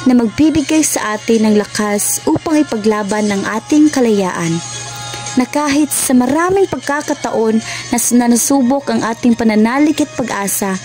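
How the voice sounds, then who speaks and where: A woman's voice: on the high side, mellow. A woman narrating a scripted radio drama in a solemn, formal manner.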